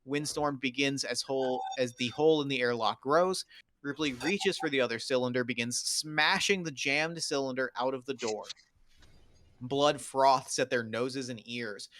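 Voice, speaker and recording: A man's voice: mid-range voice, a bit bright. A man reading screenplay stage directions in a dramatic table read.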